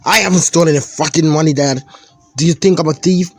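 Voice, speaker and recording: A man's voice: mid-range voice, expressive, normal speed, darkish tone, slightly rough, soft background music. A man voicing an angry, foul-mouthed teenage boy who talks back defiantly. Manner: cursing, defiant.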